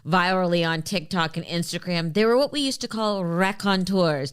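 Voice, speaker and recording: Clear-voiced woman podcast host. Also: on the low side, fairly bright tone. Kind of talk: podcast introduction and conversational interview.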